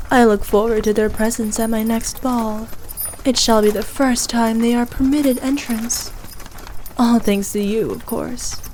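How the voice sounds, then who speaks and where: Slow, haughty evil queen acted by a woman. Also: on the high side, a little mellow, slight background noise, close to an everyday voice. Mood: fond, proud. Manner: regal, imperious, measured and indulgent. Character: haughty, ruthless evil queen, doting on her favourite knight. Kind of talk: ASMR roleplay, scripted character acting.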